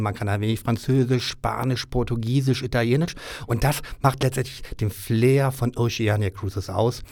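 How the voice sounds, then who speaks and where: Männliche Gaststimme, trocken im Studio. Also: male voice, mid-range voice, a little mellow, no room sound. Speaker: cruise line business development director. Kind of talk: interview answers. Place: audio studio.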